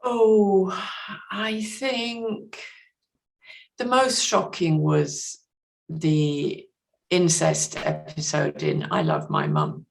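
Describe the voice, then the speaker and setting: A woman guest on a podcast, discussing books casually in a measured, understated way, pausing to think. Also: low-pitched, animated, vocal fry.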